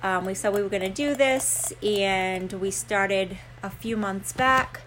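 A woman with a Rhode Island accent co-hosting a casual podcast, chatty and quick to laugh, with plenty of fillers. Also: medium pitch, a bit bright, a little hiss or hum. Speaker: podcast co-host. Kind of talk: casual chat.

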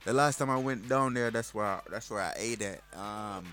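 A man's voice: fairly low pitch, moderate pace, fairly bright tone, faint music behind. A man hosting a casual interview, talking loosely in slang with plenty of fillers. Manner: loose slangy talk, laughs easily, hesitant fillers. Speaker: host.

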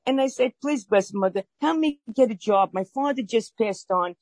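A woman's voice: on the high side, expressive, moderate pace, darkish tone, no room sound, clean studio sound. A woman in her sixties with a Brooklyn accent, telling her life story as an interview guest, earnest and plainspoken with some fillers. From Brooklyn, New York.

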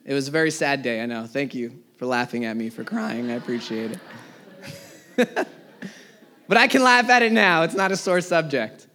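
A man in his thirties, a pastor, preaching a sermon to a live congregation, rising in emphasis and then dropping to quiet words at the ends of phrases. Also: mid-range voice, lively intonation, moderate pace, long pauses. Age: 30s. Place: church service before a live congregation.

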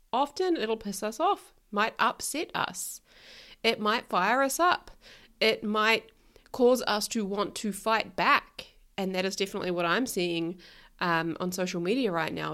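A woman's voice: mid-range voice, fairly bright tone. A woman with a New Zealand accent hosting a solo podcast, talking frankly and outspokenly, her voice a little nasal from being ill. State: nasal from illness. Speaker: podcast host, life coach. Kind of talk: casual solo podcast monologue.

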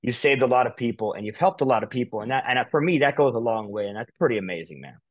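A man's voice: medium pitch, lively intonation, dull audio. A man interviewing a guest, full of admiring praise. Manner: admiring, fast-talking.

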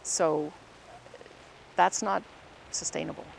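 A woman's voice: lowish voice, steady tone, slow pace, creaky, breathy edge, low-quality recording. A middle-aged woman speaking in a concerned, reflective way in an interview. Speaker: interviewed voter.

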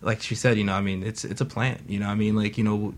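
A man's voice: fairly low pitch, flat delivery, fast. A man on a talk radio panel, rambling casually with lots of fillers. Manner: rambling, full of "you know", joking.

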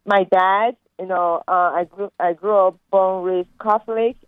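A woman's voice: mid-range voice, fairly even intonation, unhurried, clean tone, dry recording. Nigerian lady calling in, rambling with lots of fillers, tinny phone line. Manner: rambling, many fillers and restarts. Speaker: caller. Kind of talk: casual phone call.

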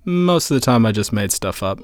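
A man's voice: mid-range voice, expressive, fairly quick, close to an everyday voice. Man playing a wry, lonely ex-boyfriend. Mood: fond. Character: wry lonely heartbroken ex-boyfriend telling his story. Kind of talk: first-person narration in an audio drama. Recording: music under the voice.

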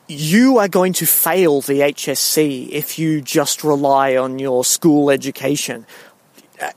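A young man, raised in Singapore, giving a casual spoken monologue with a wry, halting manner, full of restarts and ums. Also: a little high, no room sound.

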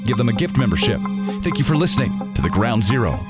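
A man's voice: mid-range voice, very animated, fast talker, loud music behind the voice. A man reading scripted imaging as a radio show announcer.

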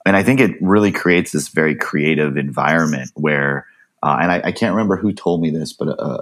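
A man co-hosting a podcast, asking casual, eager questions of his guest. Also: rumbling low. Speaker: podcast co-host.

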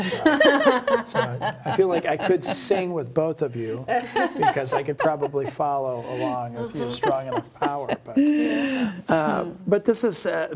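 A man hosting a conversational podcast, reasoning aloud in halting, restarted phrases. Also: a little high. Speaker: podcast host. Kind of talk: conversational discussion.

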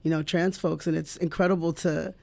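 This is a guest in his thirties from New Jersey with a fairly high voice and a gravelly edge. He talks in a reflective way, winding through fillers and restarts as he goes. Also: little pitch movement, brisk. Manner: reflective, warm-hearted, many fillers and restarts. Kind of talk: casual interview.

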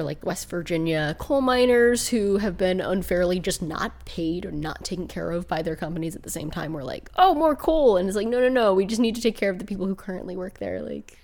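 Female podcast co-host, slightly low and soft, casual chatty delivery, home living-room setting. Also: lively intonation, a little fast, gravelly. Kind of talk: casual movie-festival podcast chat.

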